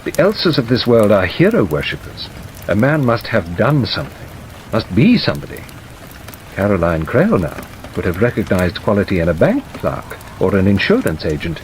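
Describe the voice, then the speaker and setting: An elderly lawyer played by a male audiobook reader declaims with worldly sentiment. His pitch rises and falls widely, with melodramatic sighs and pauses. A faint wash of rain sits over the recording. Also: low-quality recording. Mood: dry, worldly. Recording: steady rain noise over narration.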